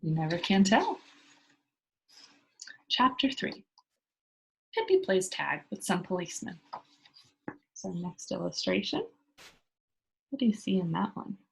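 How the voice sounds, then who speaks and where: Animated, slightly husky woman storyteller. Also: on the low side, a little slow, long pauses, rough recording. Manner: engaging listeners with simple questions. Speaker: read-aloud storyteller. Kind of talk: reading a children's book aloud, with asides to young listeners.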